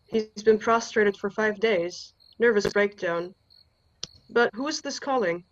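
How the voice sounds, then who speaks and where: A woman's voice: medium pitch, unhurried, a little hiss or hum, close to an everyday voice. Muffled, formal stranger on the telephone played by a woman. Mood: grave. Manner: polite, formal, reserved. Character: formal stranger, Mister Whitney, answering a household telephone. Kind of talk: radio play acting.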